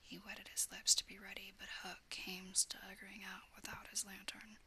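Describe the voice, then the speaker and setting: A woman reads a classic tale entirely in an unvoiced whisper. Her whisper has a bright, tense edge. Her pitch barely moves, which keeps the telling low-key and level. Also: flat delivery, normal speed. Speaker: storyteller. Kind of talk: whispered storybook reading.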